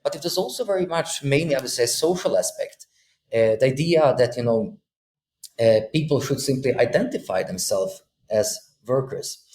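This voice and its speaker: A man in his thirties from Bosnia speaks on a video call, pausing often and restarting his sentences as he works through his ideas. His voice is mid-pitched. The room around him sounds echoey, with a faint hum underneath. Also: expressive, a little mellow. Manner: hesitant, many fillers and restarts. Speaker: podcast guest. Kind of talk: thoughtful conversational explanation. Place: room with a window.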